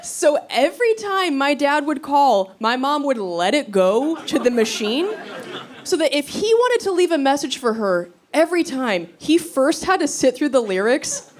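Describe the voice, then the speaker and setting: A woman performing stand-up comedy, telling stories in an animated, conversational way and acting out quotes. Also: sits high, normal speed. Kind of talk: stand-up comedy storytelling to a live audience. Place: live comedy venue on stage. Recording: live crowd laughter, noisy stage recording. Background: audience laughter.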